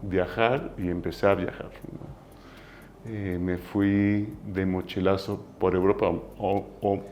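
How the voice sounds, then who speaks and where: A Mexican man in his forties with a Mexican accent, a guest telling his story in a relaxed, self-deprecating way. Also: deep voice, takes it slowly, slight background noise. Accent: Mexican Spanish. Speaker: entrepreneur guest. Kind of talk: casual interview storytelling.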